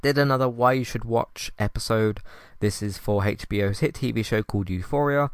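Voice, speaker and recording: A man hosting a solo podcast, talking in a calm, conversational way with frequent fillers and restarts. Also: mid-range voice, fairly quick, smooth, dry recording, studio quality. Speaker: podcast host.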